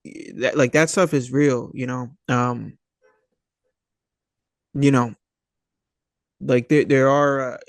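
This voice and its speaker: An American man in his thirties from Long Island, chatting casually as an interview guest in a halting, searching, slangy way. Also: medium pitch, steady tone, no echo. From Long Island, New York, USA. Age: 30s. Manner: halting and searching, laid-back slangy, often saying "man" and "you know". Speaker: guest, actor.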